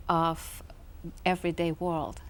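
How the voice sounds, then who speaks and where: A woman from Iran, speaking as a guest in a television studio interview, soft-spoken and thoughtful, pausing often with "um". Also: deep voice, flat delivery, slight background noise. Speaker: guest, author. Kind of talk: interview conversation.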